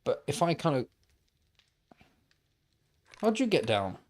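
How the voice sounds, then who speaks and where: A man's voice: fairly high pitch, very animated, takes it slowly. Man musing aloud while gaming. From Wales. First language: Welsh. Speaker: gaming livestreamer. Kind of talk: casual chat while playing.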